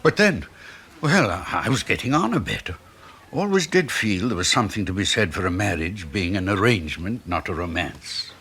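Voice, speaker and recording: Timid older British husband, husky and lowish, anxious, hesitant, pleading; vintage radio play. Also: male voice, expressive, normal speed, pushed and bright, exaggerated voice. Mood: reflective, hesitant. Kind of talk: 1970s radio drama acting.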